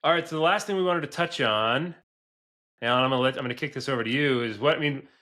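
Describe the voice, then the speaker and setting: A man around forty with a middle-pitched, bright and forceful voice. He hosts a business podcast conversationally, stressing his points earnestly and often tagging them with a quick check for agreement. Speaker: podcast host, business advisor.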